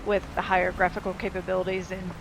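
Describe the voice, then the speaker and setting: A woman's voice: lowish voice, completely flat delivery, fast, gravelly, background noise. A woman giving casual, informal and rambling gameplay commentary as she explains how a game works. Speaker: game streamer. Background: game music and sound effects.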